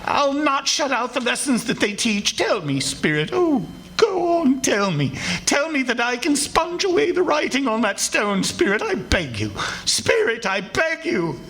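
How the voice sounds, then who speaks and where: A man acting an excitable, theatrical old miser in a live radio play, exclaiming and repeating his phrases. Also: very high voice, a little slow, heavily acted. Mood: pleading, desperate. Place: stage before a live audience.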